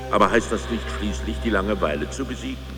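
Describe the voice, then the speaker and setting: Low, solemn incantatory man over music acting. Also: animated, fast, close to an everyday voice. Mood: wondering. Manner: declamatory, incantatory. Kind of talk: poetic radio drama recitation.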